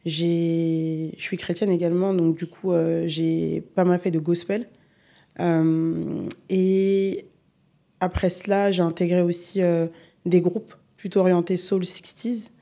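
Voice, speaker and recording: A young adult woman singer from the Paris region, chatting in an unhurried way as a podcast guest, with frequent fillers. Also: fairly low pitch. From Paris region, France. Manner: relaxed, unhurried, many fillers. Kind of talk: casual interview chat.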